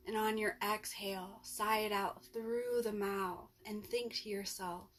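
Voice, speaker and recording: A woman leading a guided yoga nidra relaxation as the instructor, with gentle, soothing instructions and long pauses. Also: medium pitch, fairly even intonation.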